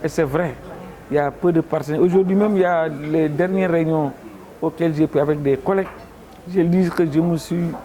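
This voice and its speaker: A man with a Senegalese accent and a slightly high voice gives spontaneous interview remarks. His phrasing is informal and halting, with clear crowd chatter behind him.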